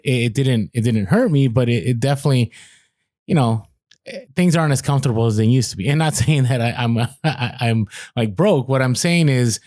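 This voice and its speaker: A man in his forties co-hosts a casual podcast in an average-pitched voice. He talks in animated bursts, laughing and doubling back on his words. The sound is dry and close with no room around it. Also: no echo. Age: 40s. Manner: animated, laughs, trails off and restarts. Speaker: podcast co-host. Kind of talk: casual chat.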